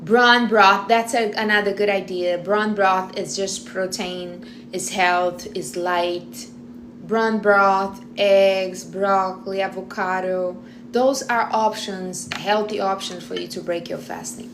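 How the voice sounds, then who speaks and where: A woman's voice: mid-range voice, steady tone, slow pace, a bit bright, a little hiss or hum, poor audio. A woman in her thirties from Brazil, with a strong Brazilian Portuguese accent and Portuguese as her first language, chatting casually to camera between bites of food and often restarting her sentences. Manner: chatty, talks while eating, restarts often. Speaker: vlogger.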